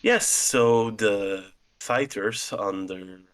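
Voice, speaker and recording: A man's voice: on the low side, drawn-out words, a bit bright, clean tone. Easygoing man describing spells. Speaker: player. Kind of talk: casual tabletop game chat.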